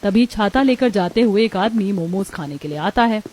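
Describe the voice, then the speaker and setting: Mellow woman narrating a story. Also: medium pitch, expressive, moderate pace, slight background noise. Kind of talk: scripted cartoon story narration.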